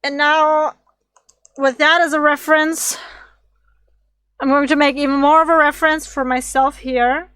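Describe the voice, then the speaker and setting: A woman streamer with a slightly raspy voice, rambling and thinking aloud as she draws on a casual livestream. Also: high voice, little pitch movement, unhurried, long pauses, fairly bright tone, dry recording. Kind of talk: casual drawing livestream chat.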